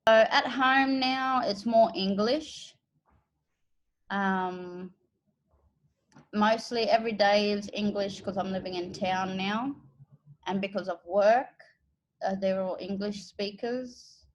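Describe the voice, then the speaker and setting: An Australian woman from the Northern Territory, an educator and interpreter, telling her own story in a relaxed, thoughtful way with pauses. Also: medium pitch, poor audio. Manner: unhurried, thoughtful, with pauses and fillers. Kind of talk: relaxed personal account.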